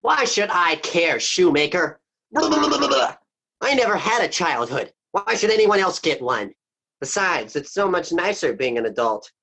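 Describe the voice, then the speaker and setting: A woman's voice: lowish voice, very animated, moderate pace, gravelly, a bit bright, husky, heavily acted. A woman playing the big bad wolf, a bitter, mocking male villain in a community stage play. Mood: sneering. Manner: mocking, dismissive, theatrical.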